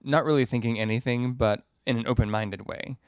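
A young adult man co-hosting a casual podcast, speaking in a measured, easygoing way. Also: mid-range voice, steady tone, clean tone, no room sound, studio quality. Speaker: podcast co-host. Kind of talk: casual conversational podcast chat.

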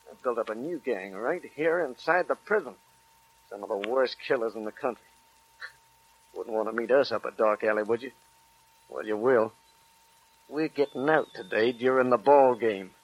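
An actor playing a cocky, menacing prison gangster, tough and taunting, in 1940s radio crime drama. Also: male voice, medium pitch, moderate pace, pauses often, close to an everyday voice, rough recording. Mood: cocky menace.

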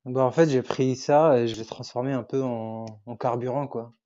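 A man's voice: mid-range voice, fairly even intonation, moderate pace, mellow, dull audio. A young man from Tunisia whose first language is Arabic, chatting as a podcast guest and hesitating often as he searches for words. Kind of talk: casual interview chat.

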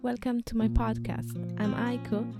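A woman's voice: fairly high pitch, flat delivery, moderate pace, gravelly, loud music behind the voice. A woman hosting a podcast on yoga, welcoming her guest and asking plain questions in a friendly way. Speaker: podcast host. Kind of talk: podcast interview.

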